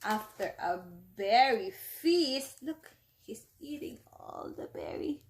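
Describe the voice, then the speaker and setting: A woman with a fairly high voice reads a storybook aloud to a class of young children, taking her time over every line. She is a teacher who often stops to explain a word or ask the children what they see. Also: animated, takes it slowly. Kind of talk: reading a picture book aloud to children.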